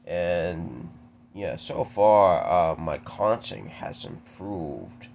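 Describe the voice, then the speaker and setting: A young man from the American Deep South with a very deep voice, stuttering and halting through a casual solo Q&A. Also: animated, creaky, darkish tone, slight background noise. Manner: stuttering, halting with repeated words. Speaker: video creator answering viewer questions.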